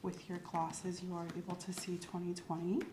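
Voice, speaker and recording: In a roomy recording with faint background noise, a calm, professional female doctor played by a woman speaks softly for an ASMR exam. She explains each step gently and methodically, in a clinical but easy way. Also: lowish voice, moderate pace, lightly acted. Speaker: ASMR host playing a doctor. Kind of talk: ASMR medical roleplay, soft-spoken.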